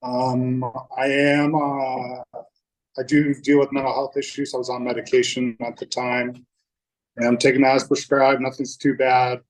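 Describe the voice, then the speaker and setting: A man's voice: mid-range voice, flat delivery, measured pace, poor audio. A young man from North Dakota, sharing his personal story at a recovery meeting in a candid, loose way, with many fillers and restarts. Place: meeting room.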